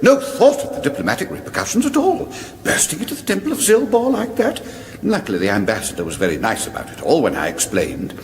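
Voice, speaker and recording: A doddering, oblivious old king in a comic fantasy radio play. The voice is on the high side and leaps wildly up and down. He fusses, dithers and chides in an echoing hall. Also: very animated, quick pace. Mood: complacent. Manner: dithering, fussy, chiding, mumbling asides. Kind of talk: broadcast radio comedy acting. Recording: echoey hall with background noise.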